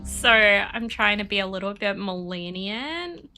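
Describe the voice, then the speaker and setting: A woman with a bright, clear voice chats away to her stream while she plays, sniffling a bit from a cold. She rambles easily from one thought to the next and laughs often, and she talks at an unhurried, slow pace. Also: mid-range voice, lively intonation, faint music behind. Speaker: game streamer. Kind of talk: casual chat while playing.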